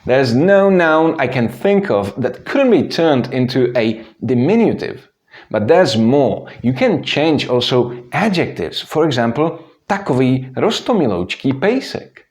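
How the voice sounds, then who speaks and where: A man, narrating a scripted language lesson in a clear, explanatory way. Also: medium pitch, measured pace. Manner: clear, explanatory, lively intonation. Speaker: language teacher.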